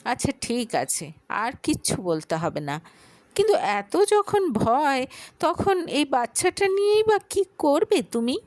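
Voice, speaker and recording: Woman acting caring, composed lady, animated pitch. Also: slow pace, no room sound, heavily acted. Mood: questioning concern. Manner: soft, reassuring, questioning. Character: kind, composed, protective adult woman. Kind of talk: dramatic audio storytelling.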